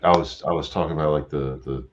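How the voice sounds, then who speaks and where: A man calling into a live chat, talking in a halting, rambling way with many fillers. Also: very deep voice, steady tone. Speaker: guest caller. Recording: dull lo-fi call audio with faint noise.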